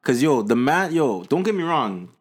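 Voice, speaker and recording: A man's voice: medium pitch, very animated, normal speed. A man in casual podcast chat, slangy and ready to argue his point.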